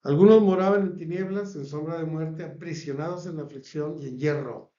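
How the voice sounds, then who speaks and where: A man's voice: fairly high pitch, moderate pace, dark tone, roomy sound, muffled recording. A man with a Mexican accent preaching a sermon in an earnest cadence, stacking phrases one after another. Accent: Mexican Spanish. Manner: earnest, repetitive listing cadence.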